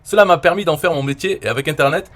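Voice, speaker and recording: A man from France in his forties talking casually and at length, rambling with many fillers and often trailing off quietly. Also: a little high, fast. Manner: rambling, frequent "euh" and "voilà", trailing off quietly. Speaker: online marketer reviewing his own interview. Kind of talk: casual explanatory monologue.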